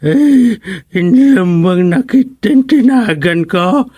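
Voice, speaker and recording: A man's voice: high-pitched, expressive, takes it slowly, a little mellow, breathy edge, dry recording, heavily acted. A man acting a senile, stubborn old grandfather in a radio comedy, rambling and muttering, with a northern Filipino accent. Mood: rambling, muddled.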